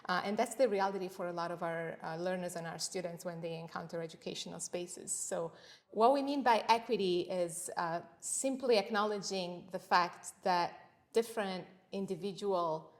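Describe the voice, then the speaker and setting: A middle-aged woman from Italy, Italian her first language, talks through teaching and equity in a calm, measured interview manner. Her voice sits a little low for a woman, and she pauses often to find her words. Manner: thoughtful, measured, with frequent pauses and fillers. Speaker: senior lecturer, interview guest. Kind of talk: academic interview conversation.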